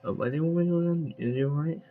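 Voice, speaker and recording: A man's voice: a little high, very fast, narrow, tinny audio, a little hiss or hum. A man giving casual gameplay commentary, rambling and thinking aloud as he plays. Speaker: gaming streamer. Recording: loud game music behind. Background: video game music.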